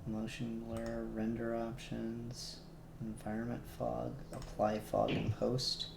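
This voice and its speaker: Gravelly man muttering while he works. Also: mid-range voice, monotone, slow pace, echoey room, very noisy. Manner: mutters settings aloud while working, chuckling. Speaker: streamer doing 3D render work. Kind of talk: casual livestream chat.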